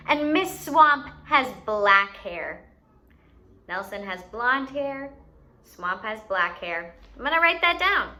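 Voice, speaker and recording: Kids' story-time host, female, bright high voice, playful, guiding young children step by step with questions. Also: big pitch swings, takes it slowly. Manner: enunciates for young children, asking them questions. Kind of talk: children's educational activity, instructing.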